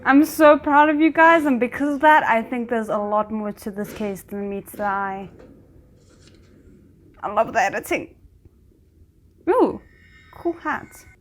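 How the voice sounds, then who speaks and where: A woman with a slightly high voice talks casually through a reaction video. She is chatty and animated, quick to react aloud to what she sees. Her delivery is loose and conversational. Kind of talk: casual commentary while watching a series.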